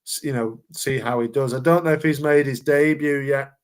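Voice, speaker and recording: A man's voice: medium pitch, fairly even intonation, moderate pace. A man co-hosting a casual football podcast, rambling along with repeated words and fillers. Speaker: podcast co-host.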